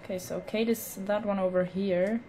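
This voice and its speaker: A female let's play host with a mellow, relaxed, medium-pitched voice. She comments casually and hesitantly, dropping sarcastic asides over faint background noise. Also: moderate pace.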